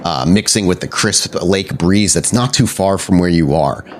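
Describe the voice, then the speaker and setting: A man's voice: low voice, normal speed, a little hiss or hum. A man narrating a tabletop roleplaying game descriptively as game master.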